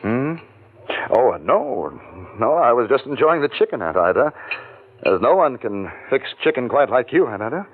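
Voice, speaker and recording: An actor playing a smooth-talking but nervous young office manager hiding a theft, in a tinny old radio recording. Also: male voice, medium pitch, sing-song, normal speed. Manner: smooth-talking, hesitant when cornered. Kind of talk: 1940s radio acting.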